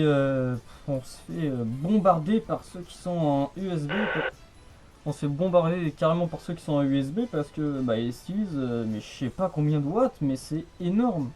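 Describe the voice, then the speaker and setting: A man's voice: fairly high pitch, dark tone. A young man chatting casually over the radio in a rambling, repetitive, colloquial way. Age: young adult. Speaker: radio hobbyist, channel host. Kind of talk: casual radio contact chat.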